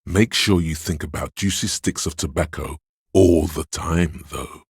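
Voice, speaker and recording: A man voicing a seductive, hedonistic inner voice of craving in a video game, gravelly and husky, coaxing slyly and savouring his words. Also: sits low, measured pace, no echo, clean studio sound, exaggerated voice. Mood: coaxing, smug. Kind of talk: dramatic video game narration.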